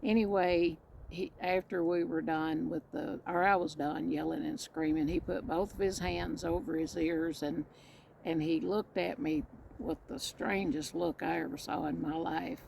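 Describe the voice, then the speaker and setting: Middle-aged Southern woman vlogger, gravelly, rambling chatty storyteller, faint outdoor noise. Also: fairly low pitch, fairly even intonation, a little slow, darkish tone, low-quality recording. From Mississippi and Indiana. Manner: rambling, restarts often, chatty. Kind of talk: casual storytelling to camera. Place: outdoors, storm coming.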